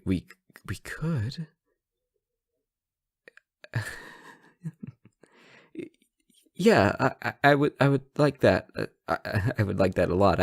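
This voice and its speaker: A man's voice: on the low side, a little mellow, dry recording, clean studio sound, full character voice. A young man playing a playful superhero smitten with his nemesis in a romantic roleplay audio, teasing and easily flustered. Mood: flustered, shy. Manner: playful, stammering when shy. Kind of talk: romantic roleplay audio acting, addressed to listener.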